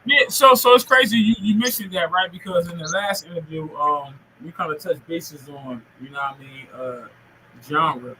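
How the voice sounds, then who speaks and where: A man's voice: a little high, normal speed, pushed and bright, slight background noise, low-quality recording. A man in his forties hosting a podcast in casual, rambling, slangy and profane talk. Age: 40s. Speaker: podcast host. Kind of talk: casual interview chat.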